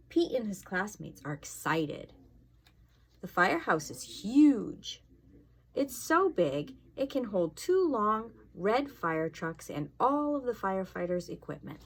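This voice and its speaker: A woman's voice: mid-range voice, creaky, breathy edge. A woman fire inspector reading a storybook aloud to children, expressively, with casual asides and questions to her young listeners.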